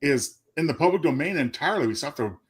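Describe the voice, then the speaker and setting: A man's voice: a little high, expressive, quick pace, slightly rough. A middle-aged man co-hosting a casual talk show with a jokey, wry manner. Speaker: co-host of a live panel show. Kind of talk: casual chat.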